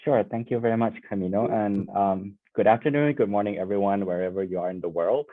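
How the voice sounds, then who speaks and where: A man from Thailand introduces himself as a student panelist on a video call. His low voice comes through a tinny, phone-like line that sounds completely dry. He talks in a relaxed way, with fillers like um and like. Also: fairly quick, no room sound.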